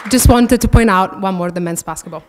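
A young woman in her twenties with an Italian accent, a student athlete giving a prepared speech at a podium, warm and gently self-deprecating. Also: medium pitch, fairly quick, a faint crowd, a little hiss or hum. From Italy. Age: 20s. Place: large banquet hall, at a podium. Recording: reverberant banquet hall.

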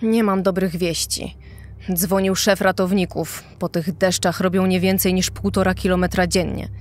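A woman's voice: on the low side, steady tone, moderate pace, lightly acted. A woman playing an urgent, businesslike officer in a true-crime podcast drama, speaking matter-of-factly.

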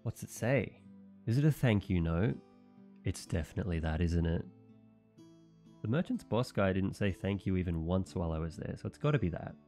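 Man voicing a loyal dim young knight, earnest, puzzled, mellow warm tone, soft music behind. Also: low-pitched, expressive, normal speed. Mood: cheerful. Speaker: voice actor. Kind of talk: audio roleplay monologue.

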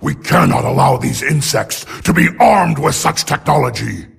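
An actor playing a ruthless, imperious giant robot warlord in a video game, with a gravelly snarl and a menacing, grandiose manner. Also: male voice, mid-range voice, huge pitch swings, normal speed, fairly bright tone, exaggerated voice. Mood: commanding. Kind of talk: video game villain acting.

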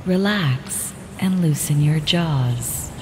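A woman reading a guided relaxation script in calm, measured, repetitive instructions, with ocean waves behind her. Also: deep voice. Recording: ocean surf noise with added reverb.

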